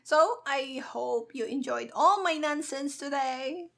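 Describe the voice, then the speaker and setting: High, bright-voiced woman streamer, unhurried. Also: vocal fry. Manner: hyper, cheeky, giggly. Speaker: gaming streamer, virtual avatar host. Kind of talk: casual playful gameplay commentary.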